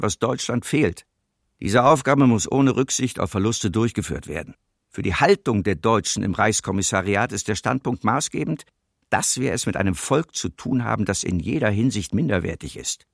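A man's voice: fairly low pitch, expressive, normal speed, creaky, no room sound, clean studio sound. An actor playing a cold, domineering male Nazi occupation official in a German radio docudrama. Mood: cold, commanding.

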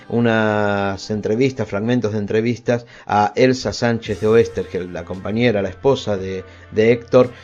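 A man's voice: on the low side, darkish tone. A man with an Argentine Spanish accent hosting a radio programme, introducing songs and archive interviews. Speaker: radio host. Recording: dull lo-fi sound with faint music.

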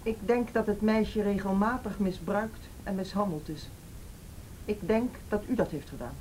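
An actress in a radio play playing a stern, unflinching police interrogator, blunt, deliberate and accusing. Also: female voice, mid-range voice, darkish tone, close to an everyday voice. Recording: faintly noisy radio drama recording.

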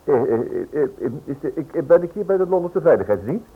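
An actor playing a stubborn, inquisitive husband turned amateur investigator in a radio play, insistent and dismissive. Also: male voice, a little high, sing-song, a little fast, slight background noise. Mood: nervous. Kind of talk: Dutch radio play acting. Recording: muffled, band-limited old radio play recording.

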